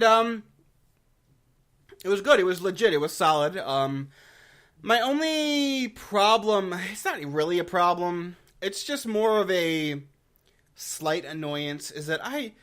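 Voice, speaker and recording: A man's voice: sits high, pushed and bright. A man giving a casual, opinionated game lore review, blunt and exasperated, with slangy swearing and mocking asides.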